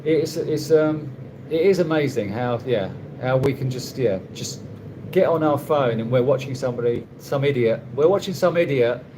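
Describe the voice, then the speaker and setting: A man casually answering viewers' questions on a live stream, rambling and halting with many restarts and a dry, wry humor. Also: medium pitch, background noise. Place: condo room.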